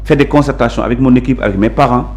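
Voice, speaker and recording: A man with a Senegalese accent talks as an interview guest. He lays out his views firmly and at length, stressing his points. His pitch sits in the middle for a man. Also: racing, darkish tone. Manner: assertive, emphatic, explanatory. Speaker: interview guest, local politician. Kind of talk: conversational interview, mixing Wolof and French.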